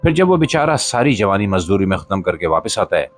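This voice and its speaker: A man narrates a scripted spoken-word monologue in a solemn, emphatic, rhetorical manner, with short pauses between phrases. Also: low-pitched, sing-song. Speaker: podcast host and voiceover narrator. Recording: voice-over with music behind.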